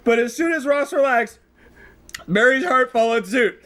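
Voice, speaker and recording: A man's voice: very high-pitched, moderate pace, a bit bright. A man on a group livestream reading a story aloud with exclaimed asides, suffering from burning hot sauce. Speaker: streamer reading fan fiction aloud. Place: group livestream with others reacting. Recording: rowdy group chatter behind.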